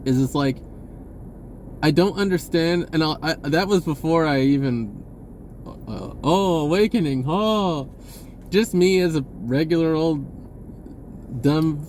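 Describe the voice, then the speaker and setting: A man's voice: a little high, takes it slowly, long pauses, darkish tone, slight background noise. A man talking in a casual, rambling, informal monologue with folksy wording, often correcting himself as a spiritual vlogger.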